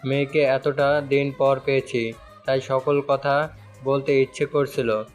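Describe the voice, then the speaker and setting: A man's voice: medium pitch, little pitch movement, measured pace, lightly acted. A man playing a protective middle-aged father, loving but stern, in an audio story drama with music behind him. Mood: tender.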